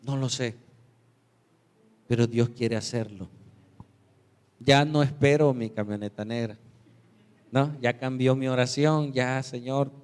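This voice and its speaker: Pastor preaching, tells stories and does everyone's voices, middle pitch, church echo. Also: male voice, slow pace, pauses often, clean tone. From Mexico. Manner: storytelling, acts out quoted voices with big pitch jumps. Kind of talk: sermon. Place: church sanctuary. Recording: reverberant church hall.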